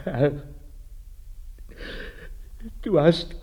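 An actor playing a guilt-ridden village priest in a radio drama, confessing slowly and accusing himself. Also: male voice, a little high, muffled recording. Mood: remorseful. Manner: slow, confessional, rhetorical questioning.

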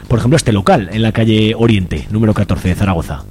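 Fast-talking Spanish man hosting radio. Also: lowish voice, a little mellow, a little hiss or hum. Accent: Spanish from Spain. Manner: colloquial, frequent tag questions. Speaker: radio host interviewer. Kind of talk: informal radio interview.

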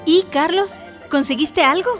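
Bossy caring young cousin, high voice, radio drama with music behind. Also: female voice, unhurried, a bit bright. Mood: encouraging. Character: protective, practical female cousin, young city woman. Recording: music under the voice.

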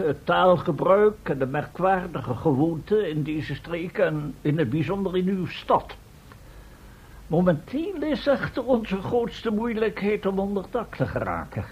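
An actor in an old radio drama playing a genteel older professor who is formal, wordy and mildly admonishing. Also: male voice, high voice, expressive, unhurried, a little mellow, husky, full character voice, poor audio. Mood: formal, polite. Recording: old radio recording, faint noise.

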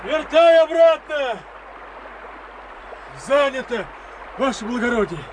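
An actor in a radio play voicing an excitable, deferential Cossack sentry with folksy, barking speech. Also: male voice, very high voice, takes it slowly, a little hiss or hum, exaggerated voice. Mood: barking, alarmed.

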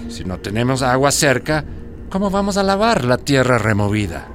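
An actor playing a greedy, self-assured foreign company manager in a radio drama. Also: male voice, medium pitch, very animated, moderate pace, a little hiss or hum, close to an everyday voice. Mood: scheming, confident.

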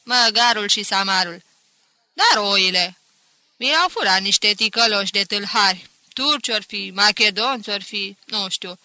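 A woman voicing a boisterous, folksy male mountain shepherd in an audiobook, loud and exclamatory. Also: medium pitch, dry recording, rough recording. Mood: rueful, boisterous. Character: hearty, boisterous male mountain shepherd, robbed but unbowed. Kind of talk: audiobook character acting.

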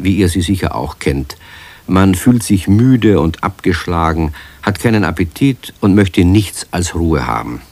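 A man's voice: low-pitched, a little mellow, no echo, full character voice. A man narrating a children's radio play as a friendly storyteller, speaking directly to young listeners.

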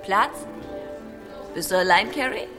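A woman's voice: mid-range voice, expressive, measured pace, lightly acted. An actress playing a condescending young barmaid in a radio drama, knowing and teasing in the way she talks. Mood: smug, needling. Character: smug, condescending young barmaid who runs a pub bar. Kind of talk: naturalistic radio drama acting in German. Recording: music playing behind in bar scene.

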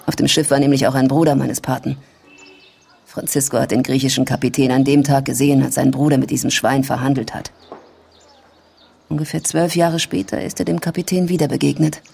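A woman playing a candid, knowing adult daughter who confides family secrets in a measured way in a German radio drama. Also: a bass voice, level tone, vocal fry, a little mellow, husky, heavily acted. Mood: confiding.

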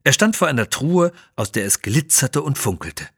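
A male narrator tells a children's adventure tale with dramatic, very animated intonation. His middle-pitched voice has a husky grain and is recorded dry. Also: moderate pace, no echo, faint music behind. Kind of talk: scripted dramatic storytelling for children.

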